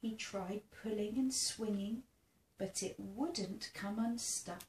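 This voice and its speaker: A woman reading a children's picture book aloud in a theatrical, expressive way, engaging young listeners. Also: medium pitch, slow pace. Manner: asks the listening child questions. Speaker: storybook reader. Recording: roomy sound with faint background noise.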